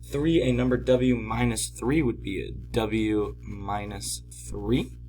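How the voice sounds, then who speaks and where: A man teaching in an informal tutorial style, patiently explaining step by step, his voice slightly hoarse from a cold. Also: lowish voice, fairly even intonation, slow pace, warm tone, faint music behind, rough recording. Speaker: math teacher. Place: at home, at a desk.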